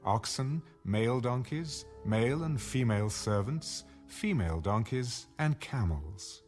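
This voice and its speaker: A man's voice: mid-range voice, animated, measured pace, a little mellow. A man narrating scripture as a scripted, dramatized reading. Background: music.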